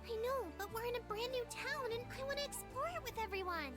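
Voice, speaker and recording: A woman's voice: very high voice, fast talker. A woman voicing a cheerful, eager young girl in a video game, with music behind her. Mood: eager pleading. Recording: game audio with music underneath.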